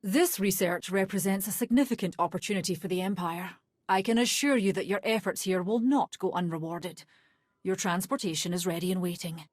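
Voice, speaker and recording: An actress playing a self-assured, teasing military officer in a video game, wry and commanding. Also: female voice, fairly low pitch, fairly quick, darkish tone, no echo, studio quality, close to an everyday voice. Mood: businesslike. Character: teasing, self-assured female Imperial major, coolly wry. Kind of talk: video game cutscene acting.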